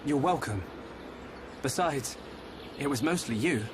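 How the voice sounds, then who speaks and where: An actor playing an earnest, determined young fantasy hero in a game. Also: male voice, medium pitch, expressive, a little slow, background noise, lightly acted. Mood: quiet, sincere. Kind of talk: dramatic game cutscene acting. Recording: loud music behind the voice.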